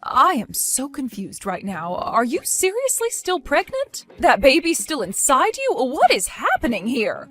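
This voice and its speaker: A woman voicing an indignant older woman who scolds and accuses in a lively, high voice. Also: unhurried, few pauses, soft background music, full character voice. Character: furious indignant older woman caring for a baby.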